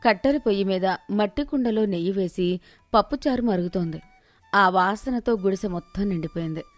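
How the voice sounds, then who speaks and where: A woman's voice: mid-range voice, a little fast, no room sound. Woman narrating a moral tale over music. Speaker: storyteller narrator. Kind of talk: scripted moral story narration. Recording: music behind the voice.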